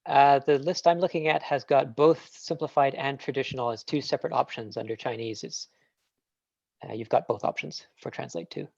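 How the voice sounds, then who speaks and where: A man's voice: medium pitch, fairly even intonation, moderate pace, gravelly, darkish tone, no echo. Canadian man, tech speaker on a call, musing, hesitant, muffled dry mic. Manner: thinking aloud, hesitant with fillers and laughs. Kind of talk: casual Q&A answers.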